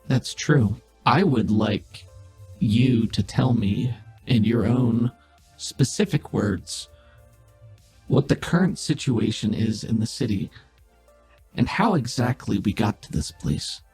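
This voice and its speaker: A man playing a calm, all-knowing, exacting overseer who asks measured, probing questions in a tabletop game. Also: mid-range voice, faint music behind. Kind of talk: in-character roleplay in a tabletop game.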